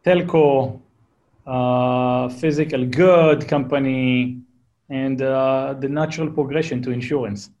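A man's voice: medium pitch, fairly even intonation, slow, darkish tone, lo-fi sound. A man in his forties from Israel with an Israeli accent, a founder being interviewed, talking casually and repeating words as he restarts. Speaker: guest, company founder.

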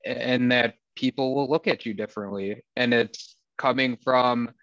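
A man's voice: medium pitch, fairly even intonation, moderate pace, a bit bright, dry recording. A man with a Wisconsin accent, speaking as a guest in candid talk with a sarcastic, dry wit. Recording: dull lo-fi sound, dry.

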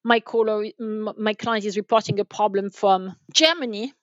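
A woman's voice: medium pitch, normal speed, no room sound, lo-fi sound. A woman speaking as an interview guest, explaining from experience in a wordy, emphatic way with repeated restarts. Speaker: guest, enterprise sales manager.

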